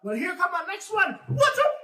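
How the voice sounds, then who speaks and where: A lazy, boastful trickster man with a Caribbean lilt, put on by a storyteller, his voice jumping up high and squeaky. He sounds bright and lively, caught from a distance in an echoey room. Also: normal speed, full character voice. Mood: indignant. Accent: Caribbean English. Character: boastful lazy male trickster, Bro Nancy.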